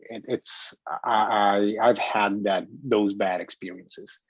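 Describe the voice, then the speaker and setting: A man with a fairly low voice, recorded dry and close. He talks in a casual group discussion as a filmmaker, stating opinions firmly while stumbling over and repeating words. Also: steady tone, takes it slowly, dry recording, highs cut off.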